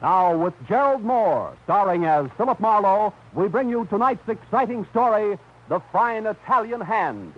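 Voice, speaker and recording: A man's voice: sits high, expressive, unhurried. A man announcing a radio drama in a formal, declamatory manner. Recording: muffled old radio broadcast with loud music.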